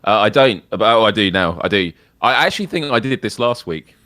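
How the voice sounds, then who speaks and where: A man with a British accent co-hosting a casual gaming livestream, laid-back and quick to laugh. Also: lowish voice, lively intonation, sharp tone, dry recording, studio quality. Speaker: podcast co-host.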